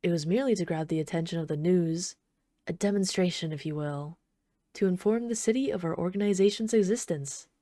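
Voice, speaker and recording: A woman playing an obsessive, flirtatious villain in an intimate ASMR roleplay, speaking softly and unhurriedly with teasing, casual menace. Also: on the low side, fairly quick, no room sound, clean studio sound, close to an everyday voice. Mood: admiring, musing. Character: obsessive yandere villain, flirtatious bomber calmly toying with trapped hero.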